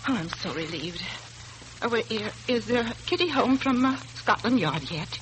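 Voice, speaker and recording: Actress as an anxious, swooping-voiced aunt. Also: female voice, a little high, expressive, normal speed, close to an everyday voice. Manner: fretful, hesitant, breathless phrasing. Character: anxious, suspicious middle-aged aunt and landlady. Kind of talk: 1940s radio drama acting. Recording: noisy 1940s radio recording.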